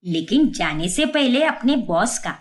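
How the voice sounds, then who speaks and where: A watchful mother-in-law from a cartoon story, voiced by a woman. She gives cautious instructions in a high, lively voice. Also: measured pace.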